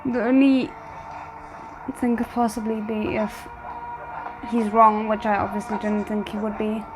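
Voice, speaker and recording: A woman's voice: mid-range voice, flat delivery, measured pace, music in the background, low-quality recording. A woman doing casual reaction commentary, thinking aloud in tentative asides as she watches. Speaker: reaction video host.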